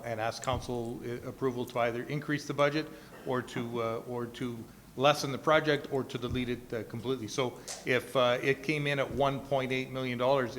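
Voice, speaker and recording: Man, flat intonation, medium pitch, formal administrator, measured procedural explanation, faint noise. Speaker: chief administrative officer. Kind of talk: formal explanation at council meeting.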